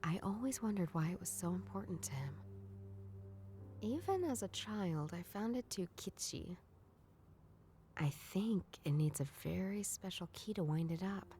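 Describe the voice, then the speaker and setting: Game role: a sardonic, hard-drinking female noir detective, low and dark-voiced, deadpan inner monologue. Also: normal speed, slight background noise. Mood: wry, weary. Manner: dry, weary, deadpan.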